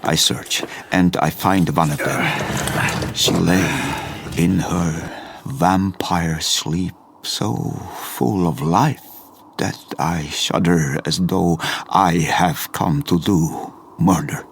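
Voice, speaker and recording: An actor playing a grave older foreign professor who hunts vampires, narrating haltingly and deliberately in stilted phrasing for an audio drama. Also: male voice, low-pitched, vocal fry, a little hiss or hum, heavily acted. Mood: haunted, solemn.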